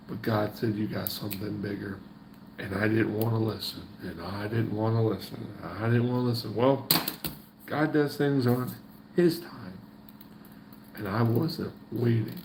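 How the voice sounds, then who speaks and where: A man's voice: mid-range voice, gravelly, darkish tone, roomy sound, a little hiss or hum, low-quality recording. A man giving casual motivational faith talk to the camera, folksy and preachy, repeating his points. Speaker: faith vlogger.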